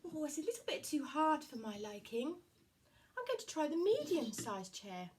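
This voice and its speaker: A woman voicing a cheerful, polite little girl with golden curls in a storybook puppet show, with bright, sing-song exclamations. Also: high-pitched, very animated, moderate pace. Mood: fussy.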